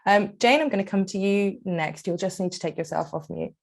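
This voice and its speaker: A woman's voice: medium pitch, brisk, vocal fry. A woman hosting an online webinar on a video call, inviting people to speak and stumbling through repeats and fillers. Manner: warm encouraging tone, many fillers and repeats. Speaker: webinar host. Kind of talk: facilitating an online discussion.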